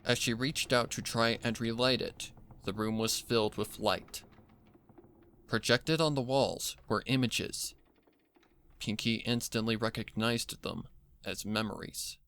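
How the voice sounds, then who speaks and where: A man's voice: medium pitch, steady tone, a little slow, fairly bright tone, clean tone. A man reading a dramatic scripted story as narrator, with noise behind him. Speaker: narrator reading fan fiction.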